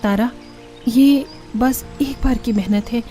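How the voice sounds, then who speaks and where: A woman's voice: on the high side, slow, mellow, faint music behind. A woman voicing a young, resourceful and polite daughter-in-law in a cartoon story. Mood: encouraging. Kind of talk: Hindi cartoon story dialogue.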